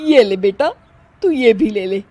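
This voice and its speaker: A woman's voice: medium pitch, animated, measured pace, darkish tone. A woman voicing an older, bossy mother-in-law in a moral-story cartoon, with an emphatic manner. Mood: sweet, doting. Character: bossy, scolding older mother-in-law, sometimes sweetly doting.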